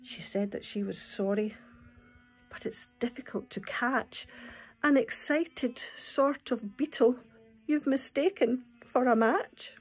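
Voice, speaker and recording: Scottish woman, husky storytime voice. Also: fairly high pitch, slow pace. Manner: expressive, simple phrasing with questions to children. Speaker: nursery owner reading storytime to young children. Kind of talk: storytime talk and poem reading for small children. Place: small room at home, indoors. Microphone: phone or tablet at arm's length.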